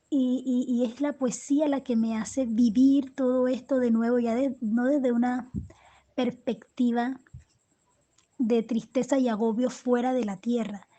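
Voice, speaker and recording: Slow, halting woman poet, soft mellow voice. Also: a little high. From Colombia. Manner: halting, many restarts and repeated words. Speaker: poet and storyteller, interview guest. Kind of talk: reflective interview answers.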